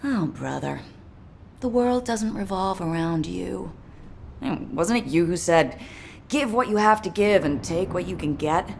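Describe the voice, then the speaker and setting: A woman's voice: on the low side, animated, a little slow, vocal fry, a little mellow, a little hiss or hum. An actress playing a defiant, revenge-hungry woman soldier in a video game, blunt and weary. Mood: exasperated. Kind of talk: dramatic video game voice acting.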